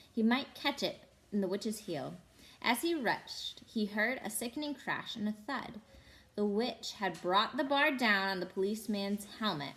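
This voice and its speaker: A woman storyteller reading a children's novel aloud with expressive, dramatic emphasis. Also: medium pitch, poor audio. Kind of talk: expressive children's audiobook narration.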